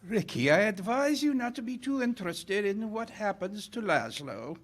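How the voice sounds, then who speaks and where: An actor playing a sly, corrupt police official, suave and deliberating, in 1940s radio theater style. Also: male voice, high voice, big pitch swings, normal speed, heavily acted. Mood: sly warning.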